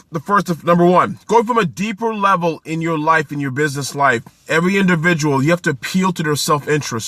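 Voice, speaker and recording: A man's voice: a little high, bright, forceful tone, dry recording. A man from Canada in his thirties, hosting a casual live stream outdoors, greeting viewers by name and giving upbeat business advice. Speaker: live-stream host, accountant and author. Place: outdoors in the sun.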